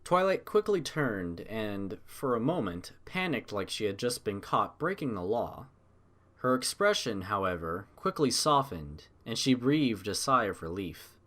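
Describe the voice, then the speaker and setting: A man narrating a scripted story with expressive, dramatic, measured delivery. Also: lowish voice.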